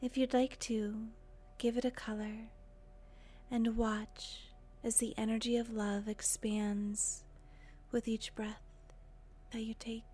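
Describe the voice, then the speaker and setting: A woman leading a guided meditation in a gentle, breathy voice with long, unhurried pauses. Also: a little high, flat delivery. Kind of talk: guided meditation, soothing narration.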